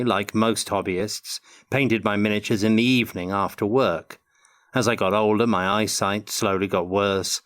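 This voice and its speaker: An older man giving measured, articulate answers as an interview guest in a prepared Q&A. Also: fairly low pitch, fairly bright tone. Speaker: interview guest, tabletop game designer.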